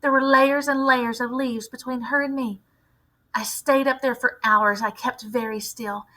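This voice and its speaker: A woman narrates a children's story with a bright, fairly high voice. Her phrasing is deliberate and expressive, as a teacher reads aloud.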